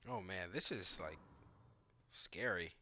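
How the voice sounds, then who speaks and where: A man with a slightly low but bright, crisp-edged voice hosts a gameplay video. His commentary is casual and informal, as if thinking out loud while he plays. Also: slow pace, slight background noise. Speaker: gaming video host.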